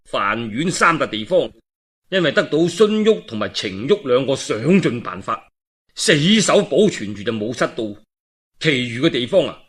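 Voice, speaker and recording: A man's voice: fairly high pitch, husky. A man with a Cantonese accent telling a historical tale in a colloquial, dramatic oral storytelling style. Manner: colloquial, animated, dramatic asides.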